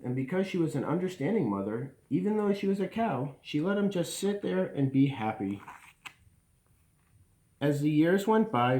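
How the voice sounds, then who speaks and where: A man reads a children's bedtime story aloud in a lively, expressive way. His mid-pitched voice swings up and down, and he pauses for emphasis and speaks to his listeners. The sound is echoey, as in a bare room. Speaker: bedtime story reader for a school community.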